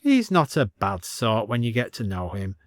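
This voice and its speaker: A man's voice: lowish voice, huge pitch swings, moderate pace, no room sound, studio quality. A man voicing a wry village shopkeeper with a persuasive manner in a story reading.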